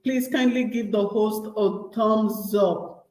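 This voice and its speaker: A young Nigerian woman with a Nigerian accent speaks slowly and unhurriedly, at a middling pitch. Her talk is chatty and loose, full of repeats and restarts as she speaks right to her viewers. The sound carries a roomy echo. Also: fairly even intonation, darkish tone. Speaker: vlogger. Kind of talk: casual advice vlog.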